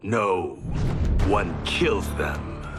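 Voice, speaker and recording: A battle-hardened villain in a video game. The voice is very low and drawn out, terse and full of menace, with music behind. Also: male voice, very slow. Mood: menacing. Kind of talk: dramatic video game acting.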